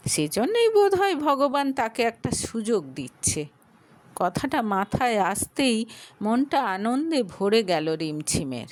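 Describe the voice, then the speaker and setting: A woman narrating a romantic audio story with a Bengali accent, telling it slowly and expressively. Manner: slow, expressive storytelling with lively pitch.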